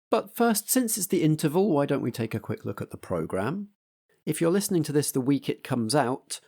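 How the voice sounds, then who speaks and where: A man's voice: medium pitch, a little mellow. A British man hosting a podcast, addressing listeners in a theatrical, chatty announcing style. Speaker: podcast host and game keeper.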